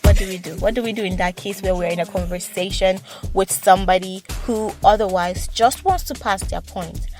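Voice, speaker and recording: Fast-talking woman with a slight stutter. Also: medium pitch, music in the background. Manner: fast talker with repeated words and restarts. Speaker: podcast host. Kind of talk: solo advice podcast talk.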